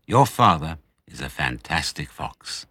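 A man voicing a devoted mother fox in a storybook, earnest and measured. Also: very deep voice, sing-song, a bit bright, husky, no room sound, full character voice. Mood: earnest admiration.

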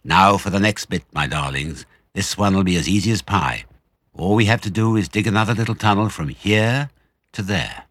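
A man voicing a confident, crafty father fox in a storybook, brisk, courteous and conspiratorial. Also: sits low, fairly bright tone, husky, no room sound. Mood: cheerfully commanding.

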